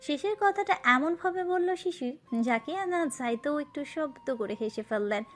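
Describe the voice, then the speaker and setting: A woman's voice: sits high, lively intonation, normal speed. A woman narrating a romantic story from a script.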